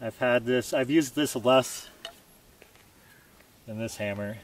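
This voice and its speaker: Guy reviewing camp gear outside, matter of fact, mid pitch, kinda distant mic. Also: takes it slowly. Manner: plain, matter-of-fact, thinking aloud while working. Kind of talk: casual product test. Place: outdoors on open dirt ground in pine woods. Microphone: camera mic at a distance. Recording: distant outdoor camera audio with faint noise.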